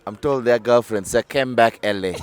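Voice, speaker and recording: A man's voice: mid-range voice, normal speed. A man on an outdoor podcast panel, teasing the others and laughing. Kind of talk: casual panel chat.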